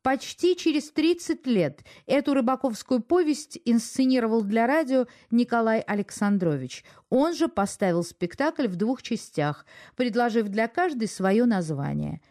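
Mellow-voiced woman radio presenter, fairly high. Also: expressive, a little slow. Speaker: radio presenter introducing a play. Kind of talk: scripted radio narration.

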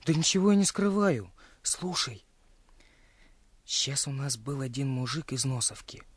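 An actor playing a naive, curious village boy in a radio play, with a husky voice and hesitant, folksy talk. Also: medium pitch, expressive, a little slow, dry recording. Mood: secretive. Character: naive, curious, secretive young village boy, red-haired friend.

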